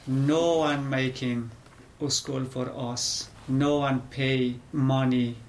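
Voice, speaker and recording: A middle-aged man from Afghanistan, a guest in a radio interview, telling his life story in halting full of pauses and fillers. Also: medium pitch, steady tone. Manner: halting non-native English with many pauses and fillers. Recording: faint background noise.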